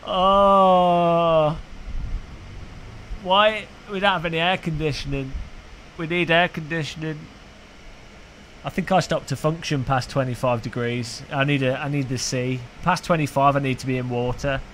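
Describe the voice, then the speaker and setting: A man's voice: on the high side, steady tone, measured pace, fairly bright tone, a little hiss or hum, poor audio. A man, chatting casually on a sim racing live stream, rambling and joking with his chat. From United Kingdom. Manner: rambling, joking, frequent restarts.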